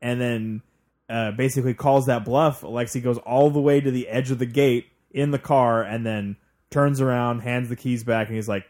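A man's voice: mid-range voice, steady tone, normal speed, darkish tone. Regular guy co-hosting a podcast, mid voice, chatting casually and informally. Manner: informal, conversational. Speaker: podcast co-host. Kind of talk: casual TV recap chat.

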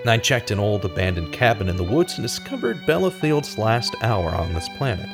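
An earnest true-crime investigator played by a man narrates an audio drama with measured, dramatic delivery. His pitch moves widely, and music plays behind him. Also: big pitch swings. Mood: grave, determined. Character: earnest radio host turned true-crime detective, man. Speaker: host and narrating lead.

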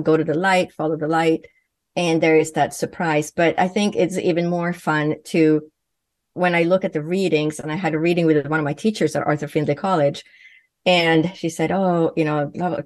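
A woman speaks in a low, deep register, earnest and fluent, though she often restarts her phrases. The sound is close and dry, with no room echo. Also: a little mellow. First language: Swedish. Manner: earnest, fluent with frequent self-corrections. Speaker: guest, medium and physician. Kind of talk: conversational interview answers.

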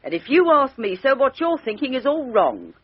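A woman's voice: high voice, huge pitch swings, moderate pace, breathy edge, full character voice. A gossipy landlady. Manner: gossipy, deferential, chattering. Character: chatty gossipy working-class London landlady, middle-aged. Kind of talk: 1950s radio drama acting. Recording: dull old 1950s radio recording.

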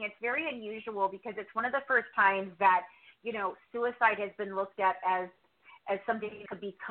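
A woman podcast host giving reflective, conversational commentary as an interview guest, leaning on repeated words for emphasis. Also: medium pitch. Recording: band-limited, muffled call audio.

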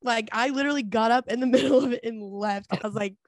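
A woman's voice: fairly high pitch, fast, no room sound, studio quality. A young woman chatting candidly as a podcast guest, laughing often as she tells stories. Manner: candid and giggly, laughing often between lines. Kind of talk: casual chat.

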